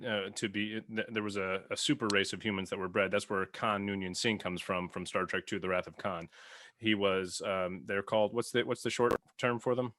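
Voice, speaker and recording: A man's voice: fairly low pitch, sharp tone, no room sound. A man co-hosting a casual podcast, explaining sci-fi lore in a storytelling way. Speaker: podcast co-host.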